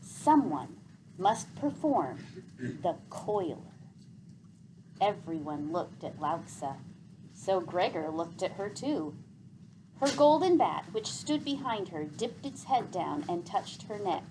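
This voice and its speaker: A woman storyteller reading a children's book aloud with expressive narration. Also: fairly low pitch, measured pace, noisy background.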